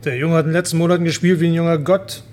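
A man's voice: on the high side, level tone, rapid-fire, darkish tone, natural voice. A man playing an earnest, dutiful police detective in a radio crime drama, matter-of-fact and cooperative.

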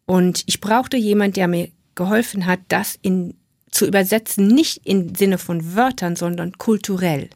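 A woman's voice: mid-range voice, a little slow, a little mellow, no echo, clean studio sound. A middle-aged woman, a native English speaker, giving a thoughtful radio interview as an author, with frequent restarts and fillers. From Western New Guinea. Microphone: studio microphone.

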